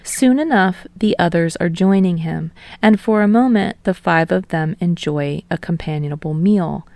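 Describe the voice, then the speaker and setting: A woman's voice: lowish voice, moderate pace, vocal fry, darkish tone, dry recording. A woman reading scripted fiction as an audiobook narrator.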